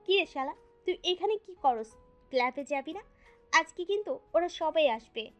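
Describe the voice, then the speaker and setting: Woman playing a high-voiced brash young guy. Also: expressive, normal speed, fairly bright tone, soft background music. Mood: teasing. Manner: slangy, teasing, exclamatory. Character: brash young male student friend, chatty and slangy.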